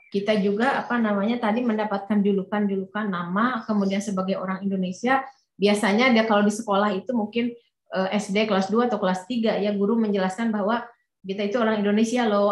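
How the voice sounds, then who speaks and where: A woman's voice: medium pitch, steady tone, quick pace. A woman interpreting a lecture, hesitant, with many fillers and restarts. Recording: roomy echo.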